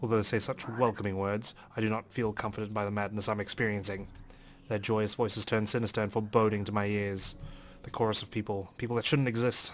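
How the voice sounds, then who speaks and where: Low-voiced man narrating dramatically. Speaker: let's player. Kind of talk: reading game narration aloud dramatically.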